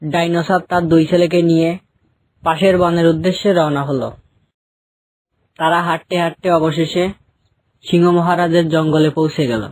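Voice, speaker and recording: Mellow man narrating, dry sound. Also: a little high, fairly even intonation, normal speed, pauses often, no room sound, poor audio. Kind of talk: scripted children's story narration.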